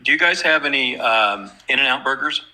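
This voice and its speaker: A man's voice: mid-range voice, expressive, normal speed, pushed and bright. A man in a casual online voice chat, polite and hesitant with many ums. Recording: noisy mic line.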